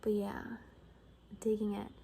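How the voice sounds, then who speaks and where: A woman doing a soft-spoken, breathy ASMR roleplay as a cocky, gossipy apocalypse survivor, often dropping into a whisper and rambling casually. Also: mid-range voice, completely flat delivery, a little slow, a little hiss or hum, rough recording.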